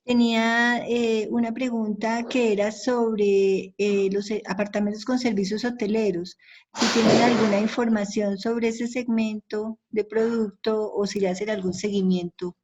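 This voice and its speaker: A woman's voice: medium pitch, flat delivery, a little slow, a little mellow. A woman from Bogotá who works in hotels, asking a hesitant question on a webinar video call. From Bogotá, Colombia. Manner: hesitant, with fillers. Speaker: hotel professional, audience member. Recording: dull line with faint noise.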